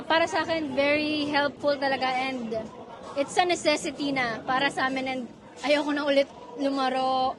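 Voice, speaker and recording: A woman with a Filipino accent giving a casual testimonial as a competitor, mixing in everyday conversational phrases. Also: high voice. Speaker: drift competitor. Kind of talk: casual product testimonial, Taglish.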